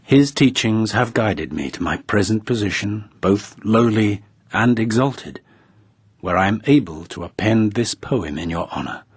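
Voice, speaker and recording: Formal court poet, low, husky. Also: male voice, animated, unhurried, no room sound. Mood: ceremonious. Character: Lord Naramura, formal, flattering courtly poet. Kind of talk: BBC radio drama acting.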